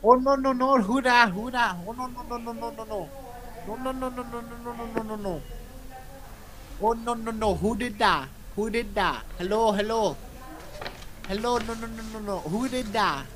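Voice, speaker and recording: A man's voice: extremely high pitch, moderate pace. A young man on a webcam call, reacting in panic and repeating himself over and over. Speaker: video chat stranger. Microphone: webcam video call. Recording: noisy webcam audio.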